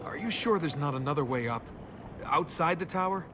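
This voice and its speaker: A man's voice: a little high, lively intonation, fairly quick, natural voice. Man playing a cocky wisecracking adventurer, noisy background. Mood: sarcastic. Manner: sarcastic, flippant. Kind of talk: video game character acting. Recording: noisy game capture with ambience.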